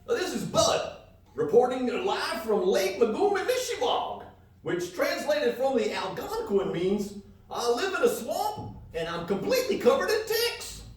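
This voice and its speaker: A man's voice: very high-pitched, sing-song, a little slow, full character voice. A man playing a folksy, rambling country travel reporter with a hesitant drawl in a live comedy radio play. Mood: folksy enthusiasm. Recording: echoey live hall, distant mic.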